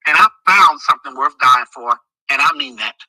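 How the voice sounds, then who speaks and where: A man's voice: medium pitch, moderate pace, slightly rough, no room sound, poor audio. A man running for office, giving an impassioned thank-you speech with a strong, fiery delivery. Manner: strong, forceful voice. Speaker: political candidate.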